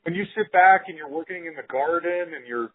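A man's voice: on the high side, fairly quick, husky. A man hosting a podcast interview in an informal, agreeable, enthusiastic way. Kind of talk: casual interview chat. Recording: narrow phone-like audio.

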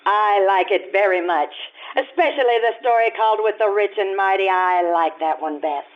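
A woman's voice: mid-range voice, moderate pace, natural voice. A woman playing a haughty young society bride, tinny sound. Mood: earnest. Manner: gushing, mock-polite, airy. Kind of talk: old-time radio stage acting. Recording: tinny phone-like radio-play recording.

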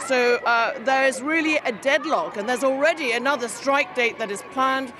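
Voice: high voice, normal speed. A reporter speaking live from a street protest outdoors, talking conversationally with fillers. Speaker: field reporter. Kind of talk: live on-scene news report. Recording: street crowd loud behind the voice.

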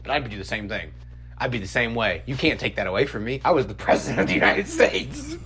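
A man's voice: medium pitch, quick pace, over music. A man co-hosting a comedy podcast, telling stories in casual, profane banter and animatedly acting out quotes. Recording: noisy with a steady hum.

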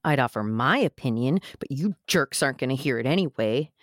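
A sulky, left-out young man, read by a woman in a low register with a dry, close sound. The delivery is sardonic and wordy, in the manner of a script table read. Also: animated, a little fast, dry recording.

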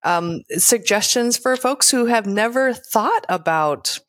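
A woman hosting a podcast interview, encouraging, with short quick questions and lots of listener responses. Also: a little high, animated, a bit bright, no echo. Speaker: podcast host. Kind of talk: casual interview. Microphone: headphones with mic, remote call.

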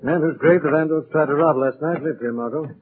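An actor playing a suave, confident, dryly witty amateur sleuth in a 1940s radio drama. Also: male voice, fairly high pitch, a little fast, slightly rough. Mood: smooth, wry. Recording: muffled narrow-band 1940s radio recording.

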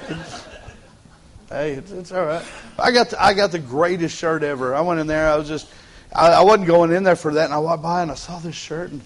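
A man's voice: a little high, fairly quick. A man with a Texan accent, a pastor preaching a casual, joking sermon in church with folksy asides and stumbling restarts. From Texas. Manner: joking, folksy, repeats words as he starts phrases. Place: church sanctuary.

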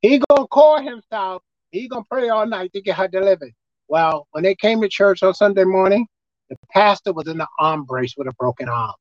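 Squeaky voiced pastor guy preaching, voice jumps all over, dry dull lo-fi sound. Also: lively intonation, a little fast, no room sound. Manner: emphatic, pitch leaping up and down. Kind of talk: preaching a sermon.

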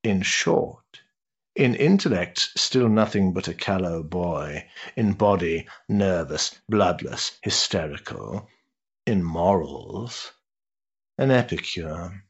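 An actor playing an urbane, cynical older aristocrat in a period stage drama, pompous and sardonic in ornate phrasing. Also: male voice, deep voice, lively intonation, takes it slowly, slightly rough, muffled recording, heavily acted. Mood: mocking, disdainful.

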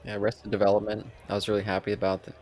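Male co-host, fairly deep, rapid casual talker. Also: completely flat delivery, darkish tone. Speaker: podcast co-host. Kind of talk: casual chat.

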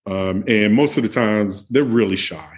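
A man guest on a video call, relaxed and telling stories with many fillers. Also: on the low side, normal speed, breathy edge. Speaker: guest, camper.

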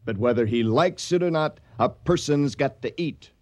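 A man's voice: medium pitch, moderate pace, natural voice. An actor playing an overweight, opinionated, theatrical male cook in a radio comedy-drama. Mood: pompous. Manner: emphatic, opinionated, excitable. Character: overweight food-obsessed male cook, passionate, touchy and theatrical.

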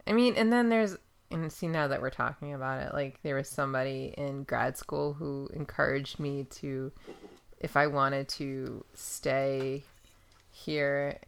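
A woman co-hosting a casual podcast, easygoing and quick to laugh. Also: a bass voice, fairly even intonation, gravelly, smooth. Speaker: podcast co-host. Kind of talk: casual chat. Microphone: podcast studio mic.

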